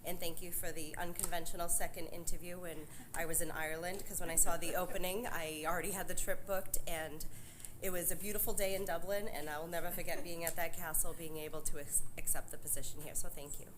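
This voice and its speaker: A woman, a school nurse introducing herself at a meeting in a chatty, excited way. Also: on the low side, brisk, background noise. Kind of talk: formal self-introduction at a meeting.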